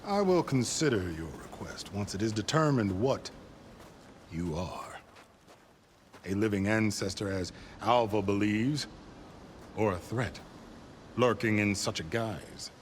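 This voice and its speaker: An actor playing a stern, suspicious overseer of a secretive order in a video game, speaking in a formal, measured, imperious way. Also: male voice, fairly low pitch, expressive, slight background noise. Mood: cold, suspicious. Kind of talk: video game cutscene acting.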